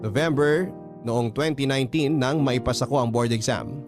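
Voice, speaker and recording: A man voices a sincere letter writer recounting a life story. He has a Filipino accent and a medium-pitched voice. Music is clearly heard under the scripted radio narration. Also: moderate pace, lightly acted. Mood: reflective.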